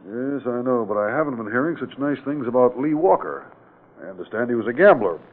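An actor playing a direct, persistent state auditor in a 1940s radio crime drama, matter-of-fact and probing. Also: male voice, mid-range voice, animated, fairly quick, natural voice. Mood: easygoing, curious. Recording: tinny, band-limited old radio broadcast.

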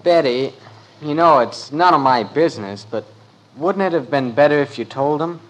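A man's voice: fairly high pitch, expressive, moderate pace, fairly bright tone, poor audio. A gawky American teenage brother in a fifties family radio comedy. His delivery jumps up and down with gee-whiz emphasis, bossy yet good-hearted. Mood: concerned, bossy.

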